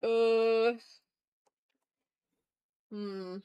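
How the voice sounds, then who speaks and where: Playful, higher-voiced woman chatting on an art stream. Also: little pitch movement, extremely slow, warm tone, clean tone, no room sound, muffled recording. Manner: playful, teasing, mock-exasperated, banters with viewers. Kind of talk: casual livestream chat while drawing.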